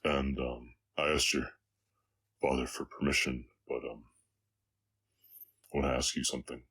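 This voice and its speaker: An extremely deep-voiced orc ranch hand , acted by a man speaks with a Southern American accent. He is gentle and reserved, halting as he talks in a soft, intimate way. It is a western romance audio drama in ASMR style. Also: flat delivery, slightly rough, heavily acted. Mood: tender, nervous. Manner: hesitant, halting, soft-spoken storytelling.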